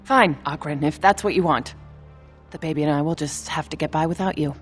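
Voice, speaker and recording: An actress playing a feisty, scornful dwarf woman in a video game, with a sharp, scolding manner. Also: sits low, a little fast, faint music behind, natural voice. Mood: hurt, bitter. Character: feisty, scornful female dwarf, exasperated partner. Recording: long hall reverb.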